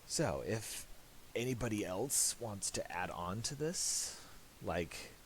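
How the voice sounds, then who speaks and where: A man narrating a casual software tutorial, thinking aloud in a halting way. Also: fairly low pitch, animated, rough recording. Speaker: tutorial presenter. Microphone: voice-over for a screen recording. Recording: hissy amateur voice-over.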